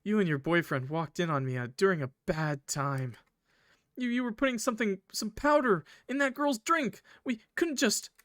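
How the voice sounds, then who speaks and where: A man's voice: sits high, normal speed, a bit bright, no echo, clean studio sound, voice pushed up. A man voicing a frightened young woman from a visual novel, stammering and pleading. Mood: shaken. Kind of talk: visual novel character read aloud.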